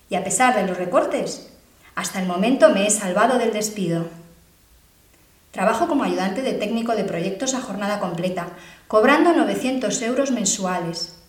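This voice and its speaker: An actress playing Valentina, a worried twenty-seven-year-old worker. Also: female voice, on the high side, roomy sound, natural voice. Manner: earnest, measured storytelling. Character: Valentina, 27, worried precarious young sociologist. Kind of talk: theatrical monologue. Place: university theatre classroom.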